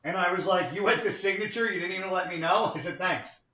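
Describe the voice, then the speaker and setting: A man in his forties hosting a casual podcast chat, blunt and animated, swearing freely. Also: high-pitched, fast talker, reverberant. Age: 40s. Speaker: podcast host.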